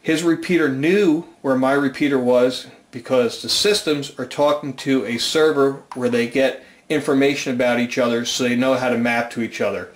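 A man's voice: mid-range voice, fairly even intonation, moderate pace, few pauses. An American man presenting an informal technical explanation at a whiteboard indoors, methodically going step by step. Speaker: amateur radio channel host, presenter. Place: small indoor room at a whiteboard. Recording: roomy, reverberant indoor sound.

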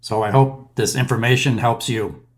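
A man in his thirties talks through a technical topic in a close-miked voice-over. His voice sits at an ordinary middle pitch and his delivery is plain and methodical, with occasional fillers as he moves from point to point. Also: fairly even intonation, normal speed. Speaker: maintenance instructor. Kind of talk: explainer voice-over over slides.

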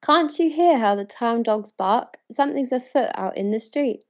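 A woman plays an eager townswoman of ancient Bethlehem in a dramatic verse piece. Her British voice sits a little high and speaks with earnest, excited wonder. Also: moderate pace, close to an everyday voice. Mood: curious. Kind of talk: dramatic spoken-word verse reading.

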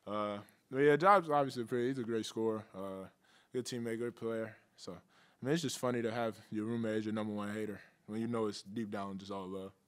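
A young college basketball player answering postgame questions in a relaxed, casual way, rattling along quickly. His voice sits slightly low and is picked up by a table mic in a press room. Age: young adult. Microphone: table mic on stand.

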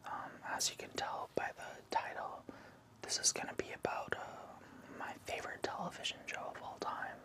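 A man in his thirties doing ASMR, hesitant, moving between a whisper and a soft voice in a small room indoors. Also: moderate pace. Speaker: ASMR video host.

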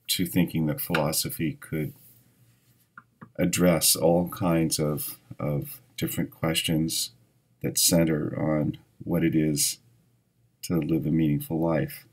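Male professor, 60s, American accent, low register, measured reminiscing delivery with emphatic openings. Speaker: philosophy professor. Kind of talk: reminiscence to camera. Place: dim indoor hall with empty seats.

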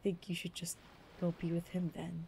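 A woman voicing a brooding, evasive man in a secret romance, speaking in a hushed, halting, melodramatic way for a game roleplay. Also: on the low side, monotone, vocal fry, slightly rough, voice pushed down, full character voice. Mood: hurt, bitter. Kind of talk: improvised soap-opera roleplay over a video game.

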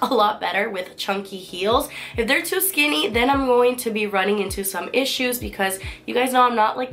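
A woman's voice: medium pitch, a bit bright. A young woman chatting through a casual haul vlog indoors, her voice still recovering, bubbly and repeating words for emphasis. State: recovering from lost voice. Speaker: fashion haul vlogger. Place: bedroom with holiday tree and boxes, indoors.

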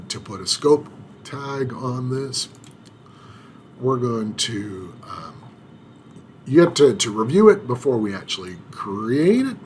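A man walking through a software setup on screen in an unhurried tutorial, pausing often with fillers and restarting his phrases. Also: mid-range voice, a little hiss or hum. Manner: unhurried, hesitant, many filler sounds and restarts. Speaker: IT administrator demonstrating software setup. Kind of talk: screen-recorded tutorial walkthrough.

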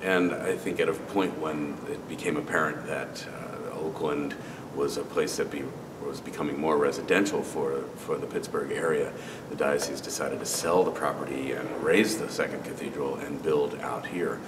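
Very low-voiced man in an echoing cathedral. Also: moderate pace, far from the mic. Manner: relaxed, conversational, with frequent fillers and restarts. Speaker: cathedral organist. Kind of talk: informal spoken tour. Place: large stone cathedral, organ console. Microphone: camera at medium distance. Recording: roomy cathedral reverb, off-mic, air-conditioning hum.

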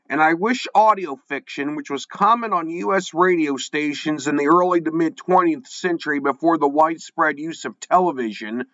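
An American man with a high, forceful voice reads scripted podcast host lines. The recording is very dry and slightly dull. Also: dry recording.

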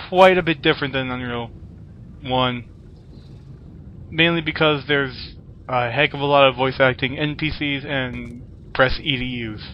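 Male let's player, mid-pitched, chatty and jokey, rambling over gameplay with slight background noise and key clicks. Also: bright, forceful tone. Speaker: let's play host. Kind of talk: casual gameplay commentary.

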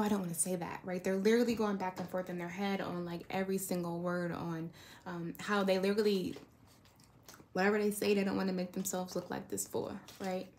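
A woman giving a casual, rambling tarot card reading in a conversational way, often checking in with the listener. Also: medium pitch. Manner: conversational, rambling, checks in with "right?" and "you know". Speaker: tarot reader.

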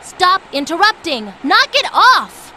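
A sassy swallow girl scolds her crew in a high, sharp, bright voice. Her pitch jumps around lively. A faint hiss lies under her. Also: takes it slowly, full character voice. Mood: scolding. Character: sassy female swallow, gang mechanic.

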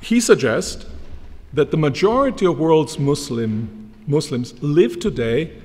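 A middle-aged man giving an academic lecture from a lectern, measured and emphatic. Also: a little high, lively intonation, a little mellow. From Croatia. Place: large lecture hall, standing at a lectern. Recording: long hall reverb from lectern mic.

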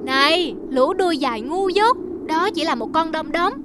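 A woman's voice: very high voice, lively intonation, normal speed, heavy background noise. A woman voicing a blunt, know-it-all little bird in a children's cartoon, scornful and exclamatory.

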